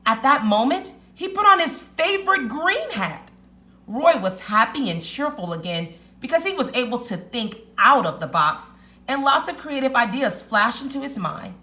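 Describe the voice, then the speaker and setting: A woman teacher reading a children's story aloud in an expressive, deliberate, emphatic storytelling manner. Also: high voice.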